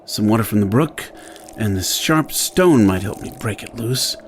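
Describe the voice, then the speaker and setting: A man's voice: on the low side, animated, moderate pace, heavy background noise, close to an everyday voice. Man acting rugged wary outlaw in pulp drama. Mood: determined. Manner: theatrical, intense, talking to himself. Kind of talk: audio drama acting with first-person narration.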